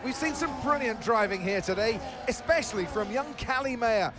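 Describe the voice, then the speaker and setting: A man's voice: very high voice, lively intonation, normal speed, heavy background noise. An actor playing a keen male motorsport commentator, declamatory and polished in live race commentary for a game. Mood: impressed.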